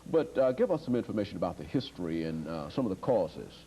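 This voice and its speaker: A man's voice: low-pitched, sing-song, fast talker, dark tone, breathy edge. A man hosting a TV interview, asking formal, wordy questions with frequent hesitations. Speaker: TV show host. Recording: long hall reverb.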